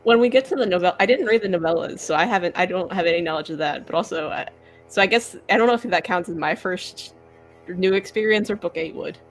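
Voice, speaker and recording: A woman's voice: on the low side, fast, faint music behind, rough recording. Casual, self-deprecating woman in a book chat. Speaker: co-host of a book discussion livestream. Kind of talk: casual chat about books.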